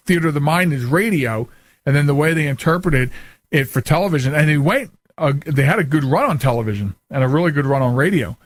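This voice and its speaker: A man's voice: on the high side, dry recording. An American man hosting a radio talk show in a casual, chatty, folksy and joking way.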